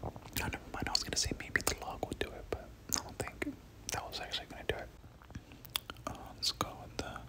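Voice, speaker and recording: Whispering ASMR gamer, breathy and unvoiced, prone to looping short phrases while narrating play. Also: fast. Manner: repeats words and short phrases over and over. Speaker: gaming video host. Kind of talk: whispered ASMR game commentary.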